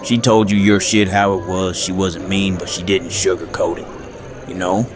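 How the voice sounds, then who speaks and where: A man playing a gruff, protective survivor in an audio drama, terse, blunt and plain-spoken. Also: sits low, little pitch movement, normal speed, noisy background, lightly acted. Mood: wistful. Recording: outdoor crowd chatter behind. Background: party crowd chatter.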